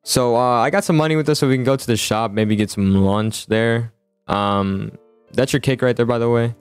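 A man's voice: mid-range voice, moderate pace, clean tone, no room sound. A man chatting casually in an improvised game roleplay, often stammering and repeating his words. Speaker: host of a roleplay gaming video. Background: music.

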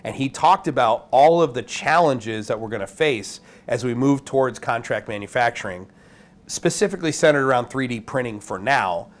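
A man in his forties giving an off-the-cuff, opinionated recap to camera as a video host, blunt and emphatic, often checking in with the listener. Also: medium pitch. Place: small indoor room with curtains.

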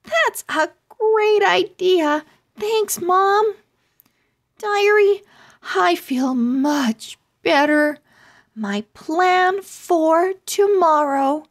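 A woman voicing an earnest, sensitive young girl owl from a children's storybook, with simple, expressive delivery. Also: extremely high pitch, extremely slow, voice pushed up, heavily acted. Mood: excited.

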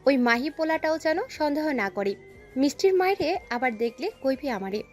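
A woman's voice: high-pitched, expressive, moderate pace, darkish tone, soft background music. A woman playing a secretive, bossy aunt in an audio story, giving colloquial instructions in a conspiratorial manner. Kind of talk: audio story character acting.

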